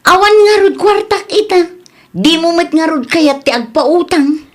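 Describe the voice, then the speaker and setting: A woman's voice: very high voice, expressive, measured pace. A woman playing a breezy, fickle middle-aged wife and neighbour in a radio drama, with an Ilocano accent and a casual, excuse-making manner. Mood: evasive.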